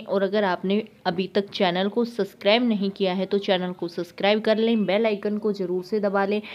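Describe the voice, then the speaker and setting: A woman's voice: medium pitch, dull audio. A woman hosting a YouTube news channel, conversationally with some hesitations and restarts.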